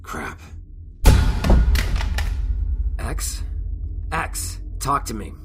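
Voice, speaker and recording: Wry, beleaguered man played by an actor, swinging pitch. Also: sing-song, slow, music in the background. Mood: tense, pleading. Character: beleaguered, defiant man held at gunpoint. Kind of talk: science fiction audio drama acting.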